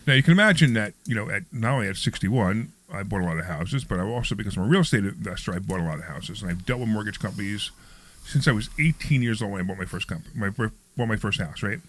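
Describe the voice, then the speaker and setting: Fast-talking man in his sixties. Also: lowish voice, lively intonation, a bit bright. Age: 60s. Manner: rambling, repeats himself, quick talker. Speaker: radio show host. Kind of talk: casual talk radio chat.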